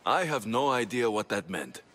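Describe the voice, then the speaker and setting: An actor playing a blunt, wry young mercenary in a video game. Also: male voice, lowish voice, very animated, normal speed, a bit bright. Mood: matter-of-fact. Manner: blunt, direct. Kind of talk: video game cutscene acting.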